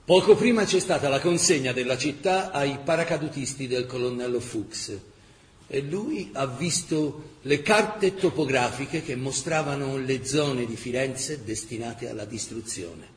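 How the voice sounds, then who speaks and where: A man narrating a dramatic historical chronicle in emphatic, measured radio-theatre style. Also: medium pitch, lively intonation. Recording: echoey reverberant hall, faint noise.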